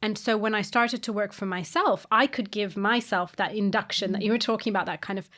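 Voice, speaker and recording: A woman raised in South Africa and Brazil hosts a podcast conversation, with a mid-pitched voice. She talks articulately and expressively, leading her co-host with questions and stories. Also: a little fast, fairly bright tone.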